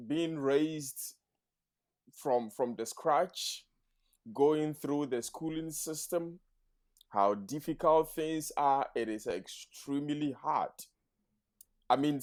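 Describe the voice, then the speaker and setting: A man in his mid-thirties speaks as a podcast guest, his voice sitting at an ordinary middle pitch. He talks in an earnest, measured way, building full and rather formal sentences. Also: a little mellow. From Ghana. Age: 30s. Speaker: guest, math teacher. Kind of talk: podcast interview.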